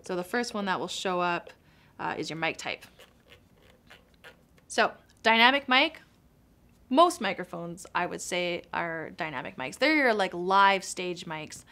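A woman's voice: fairly low pitch, animated, unhurried, long pauses, pushed and bright. A young woman casually walking through a device's menu settings in a small studio, chatty and upbeat, gesturing as she explains. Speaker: product demo presenter. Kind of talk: casual product walkthrough. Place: small studio room with acoustic foam.

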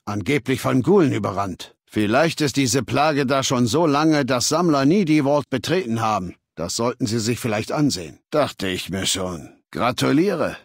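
An actor playing a commanding, arrogant warlord in a video game, speaking in a measured, authoritative and condescending way. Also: male voice, mid-range voice, lively intonation, slightly rough, no room sound, clean studio sound. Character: commanding, arrogant male warlord, cold and imperious, ailing. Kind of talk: acted video game dialogue.

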